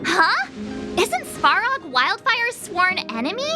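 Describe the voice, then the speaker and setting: Perky, very high-voiced girl. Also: big pitch swings, full character voice. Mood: curious. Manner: playful, quick to banter. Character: perky, cheeky teenage girl adventurer. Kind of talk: game cutscene acting. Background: background music.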